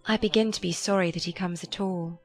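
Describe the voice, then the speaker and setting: A woman voicing a gentle, long-suffering young elder sister in a dramatized audiobook, earnest and restrained. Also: fairly low pitch, fairly even intonation, brisk, soft background music, lightly acted. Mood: quietly distressed.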